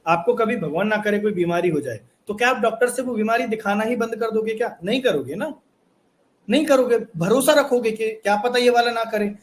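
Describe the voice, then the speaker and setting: A man's voice: high voice, fairly quick. A young man teaching a motivational course lesson, earnest and repetitive, asking his viewers rhetorical questions. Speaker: online course instructor.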